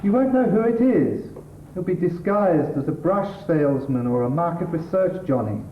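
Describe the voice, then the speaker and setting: A man's voice: fairly high pitch, expressive, moderate pace, lightly acted. A man acting a sardonic, exasperated middle-class English husband who lectures his wife in a stage comedy. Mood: lecturing. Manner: exasperated, pedantic, dry sarcasm. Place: theatre stage. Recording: distant, echoey, tinny stage recording, hissy.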